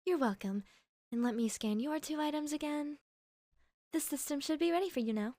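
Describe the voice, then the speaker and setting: A woman's voice: high-pitched, normal speed, warm tone, dry recording, clean studio sound, lightly acted. A woman playing a polite, chatty cashier in a roleplay audio, perky and accommodating with customer-service courtesy. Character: polite, chatty young female shop cashier.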